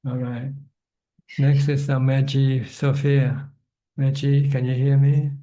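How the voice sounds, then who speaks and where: Dark flat-voiced male Buddhist teacher. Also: mid-range voice, slow pace, low-quality recording. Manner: repeats words while finding phrasing, plain direct questions. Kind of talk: online dharma Q&A. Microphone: video call.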